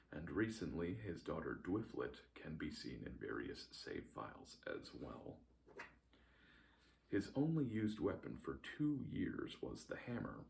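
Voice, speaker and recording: A man voicing a mock-solemn bureau announcer who reads a formal recap in a stiff, deadpan way for an ASMR video. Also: very deep voice, vocal fry, slight background noise, full character voice. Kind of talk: scripted formal recap narration.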